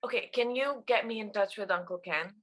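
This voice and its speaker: A woman's voice: mid-range voice, brisk, fairly bright tone, lightly acted. A woman acting an exasperated, pleading adult daughter in a comedy table read. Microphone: video call.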